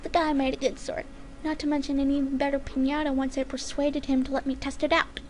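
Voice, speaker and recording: A woman's voice: high voice, little pitch movement, quick pace, darkish tone, slight background noise, lightly acted. A woman voicing a cheeky female pony assassin in a story, sarcastic, flippant and breezily gleeful in manner. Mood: smug.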